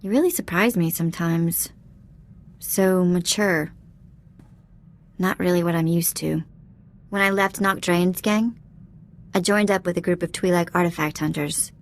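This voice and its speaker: A cheeky young alien thief girl for a game, kinda low voice, casual and open. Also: steady tone, measured pace, pauses often. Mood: candid, reflective. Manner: casual, slangy, candid. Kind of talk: video game dialogue acting.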